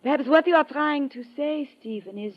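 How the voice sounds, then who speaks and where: Actress as high-voiced, seductive radio villainess. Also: female voice, moderate pace, natural voice. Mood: cool menace. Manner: theatrical, formal, menacing endearments. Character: cold, seductive female villain, sabotage ring boss with a gun. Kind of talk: 1950s radio drama acting. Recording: dull lo-fi old radio recording.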